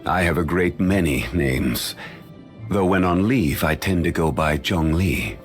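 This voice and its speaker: An actor voicing a dignified, ancient, learned man in a video game, speaking in a measured, formal and courteous way. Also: sits low, lively intonation, soft background music. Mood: calm, formal.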